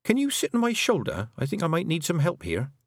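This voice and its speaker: Man playing an earnest, insistent young girl, pitched up. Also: huge pitch swings, a little fast, no echo, studio quality. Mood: polite. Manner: hesitant, questioning, urgent.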